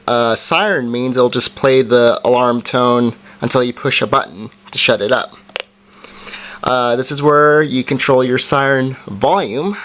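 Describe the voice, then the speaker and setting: A man with a mid-pitched voice presents a homemade product demonstration. He talks informally and plainly, going through each part and setting one step at a time. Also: fairly bright tone. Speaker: amateur video reviewer. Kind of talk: casual product review and demonstration.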